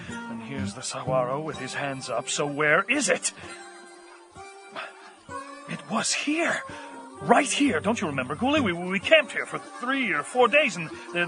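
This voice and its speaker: A man's voice: sits high, poor audio. A man playing a frazzled, thirsty professor losing his mind in the desert, muttering and bursting out in an audio horror comedy. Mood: bewildered. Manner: muttering to himself, breaking into agitated outbursts.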